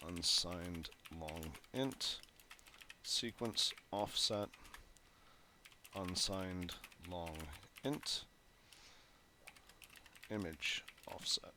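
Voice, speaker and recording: A man's voice: low voice, pushed and bright, slight background noise. A man with a phlegmy throat walking through code as he types, thinking aloud with fillers and self-corrections. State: phlegmy, clearing his throat. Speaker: programming tutorial host. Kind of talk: casual coding walkthrough. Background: keyboard typing and clicks.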